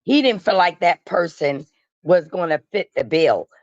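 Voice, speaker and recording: A woman's voice: on the low side, animated, normal speed, no room sound. A woman giving casual gossip commentary as a guest, emphatic and matter-of-fact, with colloquial phrasing. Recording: dull, lo-fi call audio.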